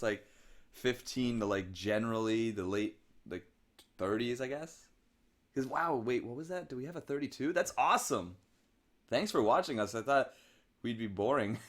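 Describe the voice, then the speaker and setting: This is a young man in his early twenties from Pennsylvania, co-hosting a casual livestream. His voice sits a little low. He rattles off viewer comments in an excitable, jokey way. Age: young adult. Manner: excitable, jokey, reads comments aloud quickly. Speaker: livestream co-host. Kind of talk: casual live-stream chat reading viewer comments.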